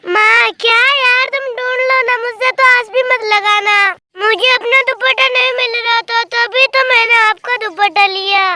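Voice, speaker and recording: A woman's voice: extremely high pitch, fairly even intonation, moderate pace, very noisy. A woman voicing a lazy, pampered young female bird in a cartoon story, whiny and dismissive. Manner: whiny, brushing off chores. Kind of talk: Hindi cartoon story dialogue.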